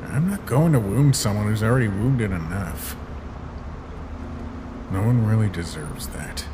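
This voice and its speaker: A tired, protective skeleton monster acted by a man in a dramatic comic dub. He talks slowly and haltingly with a resigned air, his pitch moving freely, over clear background noise. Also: expressive. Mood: somber, resigned. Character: weary, guilt-ridden male skeleton monster, protective and gentle.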